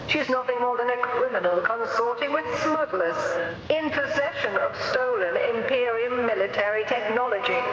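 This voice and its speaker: A woman voicing a domineering, zealous imperial advisor, stern and measured, in an echoey, muffled game cutscene with noise behind. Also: mid-range voice, natural voice. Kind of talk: animated game cutscene acting.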